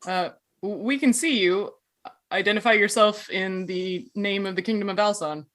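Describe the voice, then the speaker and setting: A woman's voice: medium pitch, moderate pace, a bit bright, lightly acted. A woman playing a guarded, official armored knight of a kingdom in a tabletop game.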